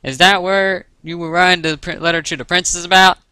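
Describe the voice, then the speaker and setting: Man putting on a loud country-twanged farm pony gal. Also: sits high, steady tone, brisk, clean tone, no echo, voice pushed up. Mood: friendly, curious. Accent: American country twang. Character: female country farm pony, friendly and hearty.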